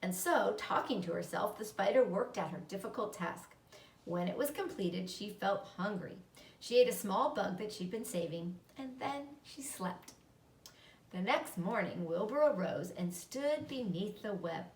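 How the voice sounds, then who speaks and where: A woman's voice: medium pitch, expressive, measured pace. A woman reading a children's story aloud as narrator. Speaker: storybook reader. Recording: roomy echo, slightly distant mic.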